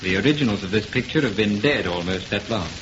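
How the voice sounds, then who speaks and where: A man's voice: on the low side, animated, background noise, lightly acted. Man as a solemn investigator, muffled old radio. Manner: deliberate, solemn explaining. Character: grave investigating gentleman, calm bearer of eerie news. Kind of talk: old-time radio horror acting.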